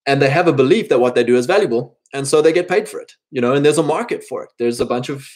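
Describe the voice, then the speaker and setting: A man's voice: mid-range voice, fast, darkish tone. Rambling thirty-year-old man, casual motivational talk. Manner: rambling, informal, voices imagined quotes mid-thought. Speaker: speaker giving advice to men.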